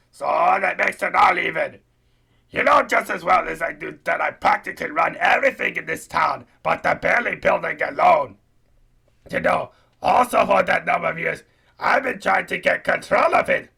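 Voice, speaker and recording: A man voicing a gloating old puppet banker, blunt and boastful, with a raspy, gravelly voice in a comic radio play. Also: high voice, sharp tone, heavily acted. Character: gloating old monster banker, male, a wild puppet tycoon.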